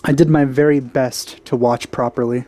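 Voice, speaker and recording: A man's voice: mid-range voice, steady tone, moderate pace, mellow. A man giving casual let's play commentary, with dry, grumbling asides. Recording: game music behind the voice.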